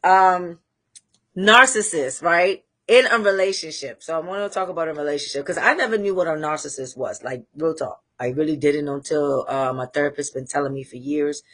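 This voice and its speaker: A woman with a Trinidadian accent hosting a live talk show, speaking candidly and rambling with pauses to find her thread. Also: deep voice, fairly bright tone. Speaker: host. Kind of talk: casual livestream monologue.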